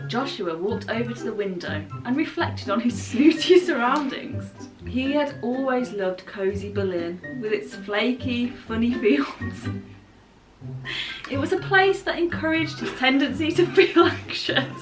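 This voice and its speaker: A woman's voice: fairly high pitch, animated, measured pace, distant mic. A woman doing a playful dramatic reading with banter, often giggling as she goes. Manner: giggly, often breaking into laughter. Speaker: vlogger reading generated stories. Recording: echoey room with music behind.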